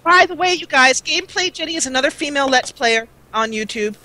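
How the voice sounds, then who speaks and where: A woman's voice: high voice, animated, fast talker, bright, forceful tone. A woman chatting casually as a guest on a livestream. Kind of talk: casual chat.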